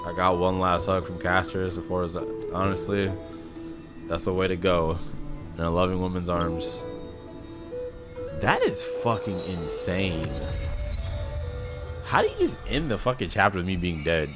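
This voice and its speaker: A man's voice: low-pitched, expressive, measured pace, long pauses, over loud music. A young man giving casual, blunt game reaction commentary with slang and swearing. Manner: slangy, blunt, profane, says bro often. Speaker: gaming reaction video creator.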